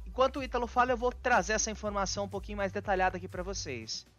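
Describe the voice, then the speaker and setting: A co-host from Southeast Brazil in a casual commentary chat, chiming in with short agreements and brisk news updates. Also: mid-range voice, fairly bright tone, faint music behind.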